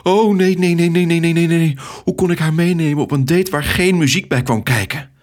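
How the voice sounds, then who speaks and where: A man's voice: a little high, fairly quick, pitched above the performer's own voice, close to an everyday voice. A man voicing a shy, awkward storybook hero who is nervous about romance and speaks in hesitant stammers. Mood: panicked.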